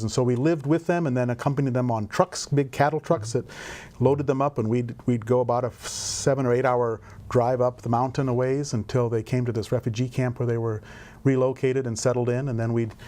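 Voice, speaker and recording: A middle-aged man talks through his background in an easy, unhurried interview. His voice sits at an ordinary middle pitch. He pauses often and fills the gaps with "uh" and "um". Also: fairly even intonation, mellow. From grew up in Indonesia and Indiana. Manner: thoughtful, rambling with fillers. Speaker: volunteer program director. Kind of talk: conversational interview.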